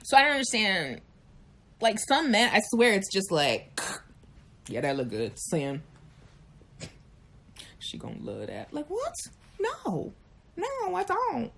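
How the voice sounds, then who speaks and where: A woman in her late thirties chatting frankly and animatedly on a live chat show, telling stories and acting out quick voices. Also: mid-range voice, wildly swooping pitch, gravelly, a bit bright, a little hiss or hum. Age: 30s. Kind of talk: candid casual chat.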